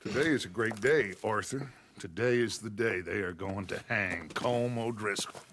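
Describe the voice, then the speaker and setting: An actor playing a grandiose, vengeful American leader of Wild West outlaws in a video game, speaking in florid, commanding lines. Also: male voice, fairly low pitch, moderate pace, background noise, close to an everyday voice. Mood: exultant, vengeful. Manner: theatrical, commanding, florid speech. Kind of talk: dramatic video game dialogue. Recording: music behind the voice.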